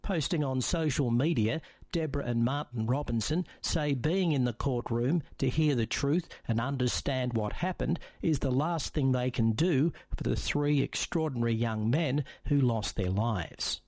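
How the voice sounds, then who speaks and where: A man's voice: medium pitch, a little mellow, no room sound. A man reading a formal radio news bulletin. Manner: measured, formal news delivery. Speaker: newsreader.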